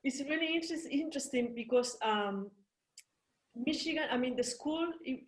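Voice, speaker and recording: A woman's voice: high-pitched, expressive, moderate pace, roomy sound. A woman interviewing a guest over a video call, speaking casually and encouragingly.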